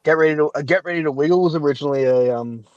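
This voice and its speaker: A man's voice: fairly high pitch, rapid-fire, darkish tone, no echo, muffled recording. Casual, rambling man on a podcast. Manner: rambling, opinionated, frequently qualifies his points. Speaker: podcast guest. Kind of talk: casual chat.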